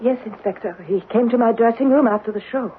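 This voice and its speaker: A woman playing a wistful, theatrical stage actress haunted by foreboding, in old-time radio drama style with halting, dramatic delivery. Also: fairly high pitch, a little hiss or hum, lightly acted. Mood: guarded, composed. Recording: narrow-band old radio broadcast, faint music.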